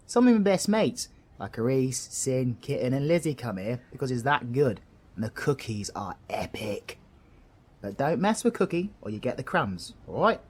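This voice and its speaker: A British man playing a cheeky, kind-hearted supervillain in an audio roleplay, chummy, slangy and reassuring, with a bit of mild swearing. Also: mid-range voice. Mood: upbeat, joking. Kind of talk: scripted audio roleplay addressed to the listener.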